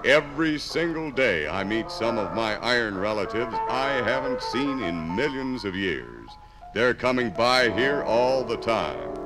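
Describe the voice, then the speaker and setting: An exuberant talking speck of iron. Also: male voice, mid-range voice, very animated, measured pace, rough recording. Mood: gleeful, chatty. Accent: American. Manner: exuberant, theatrical, folksy. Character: cheerful talking particle of pure iron, chatty and proud. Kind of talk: 1950s radio dramatization. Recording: 1950s radio transcription on LP. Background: background music.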